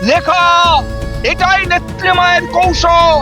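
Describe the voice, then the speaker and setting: A man playing an alarmed prehistoric tribesman in an audio drama, shouting urgently in a strained voice. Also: very high voice, completely flat delivery, a little slow. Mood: panicked. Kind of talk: Bengali audio drama acting. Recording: music under the voice.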